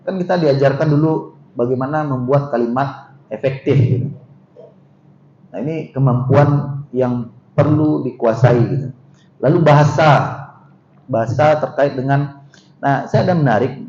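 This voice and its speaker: A man's voice: mid-range voice, a little slow, long pauses, darkish tone. A middle-aged man with a Minangkabau accent giving a seminar lecture, talking conversationally and putting questions to the audience. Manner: conversational, asks the audience questions, frequent filler "eh" and "gitu". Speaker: university vice rector giving a seminar talk. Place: seminar room. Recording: dull roomy hall recording with faint noise.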